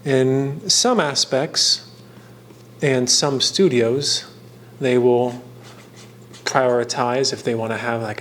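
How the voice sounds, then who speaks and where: Slow-paced man rambling casually over a hobby project. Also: mid-range voice, a little hiss or hum. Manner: halting, meandering, trailing off between thoughts. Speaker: host of a painting and fan trivia video. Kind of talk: casual rambling commentary while crafting.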